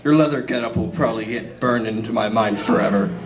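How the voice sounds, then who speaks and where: A man's voice: lowish voice, a little fast, a crowd in the background, natural voice. A man voicing a sullen, embarrassed young swordsman with a brooding, grumbling delivery in a comic fan fiction reading. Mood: irritated, flustered. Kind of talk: dramatic fan fiction reading played for comedy. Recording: echoey room microphone.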